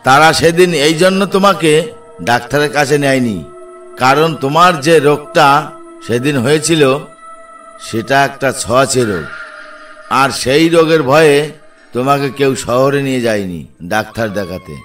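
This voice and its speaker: A man's voice: medium pitch, normal speed, pauses often, a bit bright, natural voice. A man voicing an anxious village headman in a cartoon. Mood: worried. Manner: anxious, pleading. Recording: distant voice with faint music.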